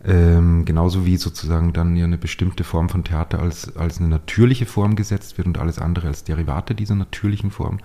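A man's voice: low-pitched, fairly even intonation, brisk, hardly any pauses, dark tone. A man giving reflective, intellectual interview answers in a conversational way, hesitant and often rephrasing as he searches for words. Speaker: interview guest, playwright.

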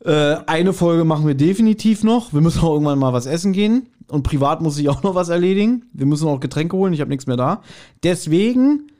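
Ein Mann, der als Podcast-Host locker und gesprächig plaudert, scherzhaft und selbstironisch. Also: male voice, on the high side, lively intonation, quick pace, mellow. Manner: chatty, self-mocking, jokey. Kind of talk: casual, joking podcast chat.

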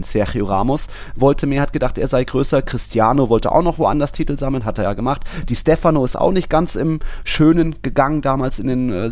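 A man's voice: medium pitch, darkish tone, dry recording. A man co-hosting a football podcast, talking casually and giving his opinions in an informal, conversational way.

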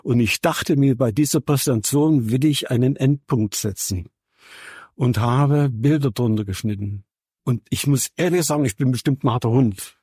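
A man's voice: mid-range voice, normal speed, mellow, breathy edge, no echo, studio quality. A man in his seventies from Saxony, chatting casually as an interview guest in a colloquial, wry, plain-spoken way. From Saxony, Germany. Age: 70s. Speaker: interview guest, veteran radio journalist and musician. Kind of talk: casual conversational anecdotes.

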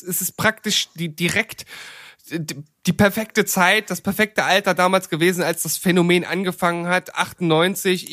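A man's voice: high-pitched, a bit bright, no echo, studio quality. A man in his thirties reminiscing casually as a podcast host. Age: 30s.

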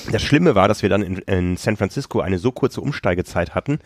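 A man's voice: deep voice, expressive, fairly quick. A chatty man co-hosts a podcast from a hotel room, spinning loose stories and laughing along. He has a slight cough and sounds a bit under the weather. The recording is dry and close, with no room echo. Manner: chatty storyteller, laughs often. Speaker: podcast co-host. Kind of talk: casual chat. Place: hotel on a tropical island. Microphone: remote call.

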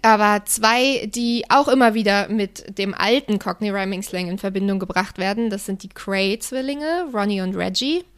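A woman co-hosting a podcast, sharing her opinion thoughtfully and pausing often to search for words. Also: mid-range voice, clear, resonant tone. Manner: thoughtful, hesitant with fillers. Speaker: podcast co-host. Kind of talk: reflective podcast chat. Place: living in London.

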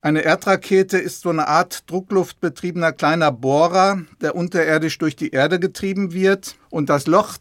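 Guy expert on a kids podcast explaining step by step, slightly high voice, very dry recording. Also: no room sound. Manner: didactic, illustrating with everyday comparisons. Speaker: expert guest, government department head. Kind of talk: explaining simply to children in a podcast.

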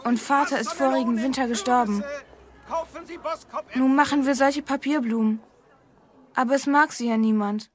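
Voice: high voice, fairly even intonation, normal speed, pauses often, lightly acted. A performer playing a poor, sad young flower girl in a children's audio drama, speaking plaintively. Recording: crowd sounds behind the voice.

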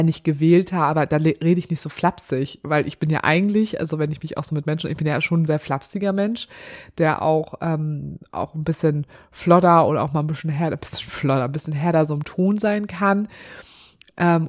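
Woman, low voice, nasal, candid chatty podcast host. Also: fairly quick, darkish tone. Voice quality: nasal, blocked nose. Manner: chatty, candid, laughs often. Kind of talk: casual solo podcast chat.

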